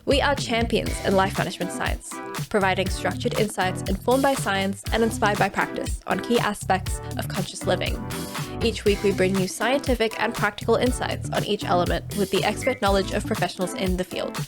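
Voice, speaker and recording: A woman's voice: mid-range voice, brisk, music in the background. A woman hosting a podcast, reading a scripted intro and then chatting with enthusiasm and some hesitant fillers. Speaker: podcast host.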